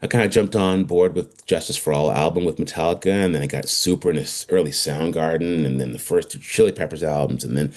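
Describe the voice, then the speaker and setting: A man in his fifties from Trinidad, telling his story casually and haltingly as an interview guest. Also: extremely low pitch, a little mellow. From Trinidad, moved to Canada around age twelve. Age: 50s.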